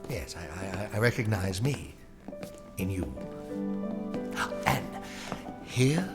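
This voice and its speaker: An actor playing an eccentric, excitable and mysterious gentleman host in an audio drama, dithering and exclaiming theatrically. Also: fairly low pitch, slow pace, over loud music. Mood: grand, eager.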